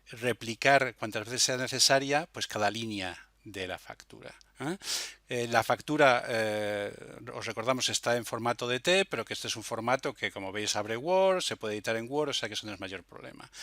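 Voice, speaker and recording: Bright-voiced man explaining software. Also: mid-range voice, no echo, clean studio sound. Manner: explanatory, hesitant, with frequent checking tags. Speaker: software demonstrator. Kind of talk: informal screencast tutorial narration.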